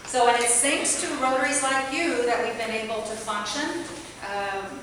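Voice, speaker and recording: Loud chatty woman in an echoey hall. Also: a little high, normal speed, noisy background. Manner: loud, projecting voice; chatty, rambling, self-deprecating. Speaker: orphanage founder giving a guest talk. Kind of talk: informal speech to a club meeting. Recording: echoey hall from far off, audience.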